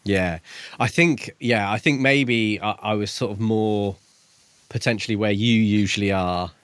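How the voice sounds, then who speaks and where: A man co-hosting a podcast, chatting casually about books in a tentative, halting way as he thinks aloud. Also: fairly low pitch, smooth, no room sound. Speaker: podcast co-host. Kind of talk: casual book discussion chat.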